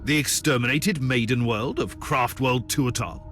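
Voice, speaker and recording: A man playing a stern, guarded psyker Inquisitor in a video game, speaking formally and gravely in terse phrases. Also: mid-range voice, a little slow, a bit bright, lightly acted. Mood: businesslike. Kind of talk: dramatic video game dialogue. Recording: music behind the voice.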